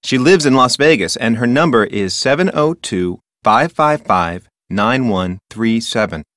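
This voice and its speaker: An actor playing an officious, dutiful and touchy park ranger in a video game, speaking in a formal, self-important manner. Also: male voice, medium pitch, expressive, measured pace, a bit bright, dry recording, studio quality. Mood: matter-of-fact, pompous. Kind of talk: video game character dialogue.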